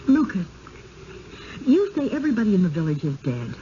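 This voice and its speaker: An actress playing an anxious, suspicious and stubborn wife in 1970s radio drama style, insistent and probing. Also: female voice, medium pitch, big pitch swings, brisk, dark tone, natural voice. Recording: dull, hissy old radio broadcast.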